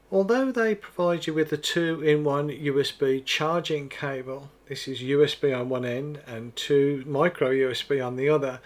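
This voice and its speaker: A man narrating a scripted product demonstration in a methodical, step-by-step explaining manner. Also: on the high side. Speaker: product reviewer.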